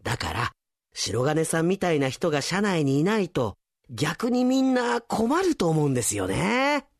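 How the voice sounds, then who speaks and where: A man's voice: fairly high pitch, big pitch swings, normal speed, dry recording, studio quality. A man playing a casual young male office worker, slangy but earnest, in a comic radio drama. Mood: sincere. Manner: slangy, earnest junior speech.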